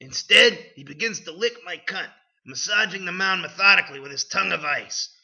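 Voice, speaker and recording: A man's voice: high-pitched, moderate pace, creaky, pushed and bright. A man giving an over-the-top dramatic reading, with an overwrought delivery broken by dry asides. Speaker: dramatic reader. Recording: dull lo-fi recording.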